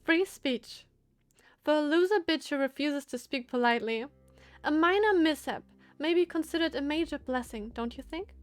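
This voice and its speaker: Woman playing a high-voiced, cool, haughty teasing lady. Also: animated, moderate pace, no echo, soft background music. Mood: coolly teasing. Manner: aloof, sardonic, feigned innocence.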